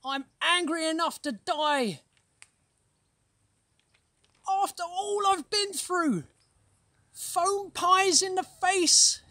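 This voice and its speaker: A woman plays a grumpy bald prophet who groans and sighs through every line. He moans at a very slow, dragging pace and still lands fairly high. The sound is dry and close. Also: bright, forceful tone, no room sound, exaggerated voice. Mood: indignant.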